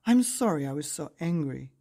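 A woman voicing a grumpy young male sheep in a bedtime storybook, who shouts when angry. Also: low voice, animated, moderate pace. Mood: wistful.

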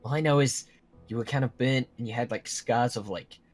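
A man's voice: medium pitch, fairly quick, a little mellow, natural voice. A young man playing a protective, earnest teenage werewolf friend in a Minecraft roleplay drama, speaking in broken, dramatic phrases. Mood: tense recounting. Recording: music behind the voice.

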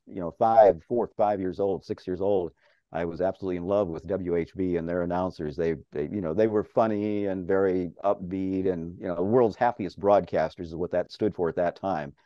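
An older man with a strong, mellow, fairly low voice tells stories with lively, chatty energy and frequent laughter. He talks from a home office over a video call. The sound is dry and a little dull. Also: moderate pace, no echo. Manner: chatty, animated, laughs often. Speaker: interview guest, radio farm broadcaster. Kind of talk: casual storytelling.

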